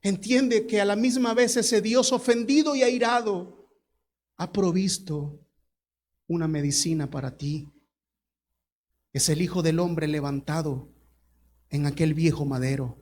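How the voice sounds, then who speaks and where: A man's voice: a little high, a little slow, long pauses, darkish tone. A male pastor preaching a sermon, telling a story dramatically and emphatically.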